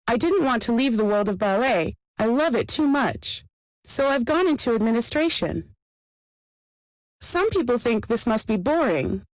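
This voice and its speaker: An actress playing an older, devoted former ballerina from Sweden, reflecting sincerely in a listening exam monologue. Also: female voice, a little high, dry recording, lightly acted, poor audio. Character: older Swedish female ex-ballerina, devoted company administrator.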